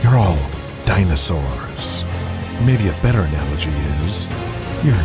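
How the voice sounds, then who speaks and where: A man voicing a cocky, defiant young wrestling promoter in an audio drama, deliberate and contemptuous. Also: low-pitched, animated. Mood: scornful. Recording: loud music behind the voice.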